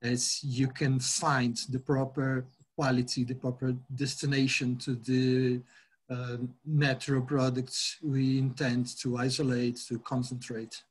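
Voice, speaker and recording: A middle-aged man from Brazil with a Brazilian Portuguese accent, giving a halting academic webinar talk over a video call with many fillers and restarts. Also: mid-range voice, flat delivery, breathy edge. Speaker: guest lecturer.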